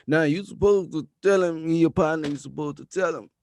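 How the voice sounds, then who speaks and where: A man's voice: a little high, moderate pace, darkish tone. Laid-back man in his thirties. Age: 30s. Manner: laid-back, preachy advice-giving with slang. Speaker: podcast host. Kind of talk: casual interview chat.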